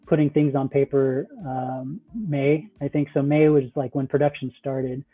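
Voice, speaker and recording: A man's voice: mid-range voice, steady tone, a little slow, narrow, tinny audio, faint music behind. A man giving casual interview answers as a guest, explaining loosely in conversational filler-laden phrases over thin call audio. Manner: loose, explanatory, peppered with "like" and "you know". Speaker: startup founder, interview guest.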